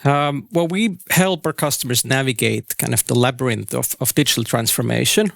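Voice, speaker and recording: A man's voice: medium pitch, moderate pace, gravelly, a bit bright, dry recording, studio quality. A man in his forties from Switzerland speaking as a consultant at a business conference, conversational and often correcting himself mid-sentence. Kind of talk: interview and conference presentation.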